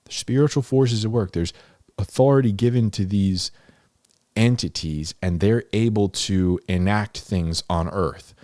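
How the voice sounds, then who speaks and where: Low-voiced man teaching informally, emphatic. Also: a little slow, a little mellow, dry recording, clean studio sound. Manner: conversational and emphatic, repeats key phrases for stress. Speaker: host of a Bible-explainer series, teacher. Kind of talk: informal, unscripted Bible teaching.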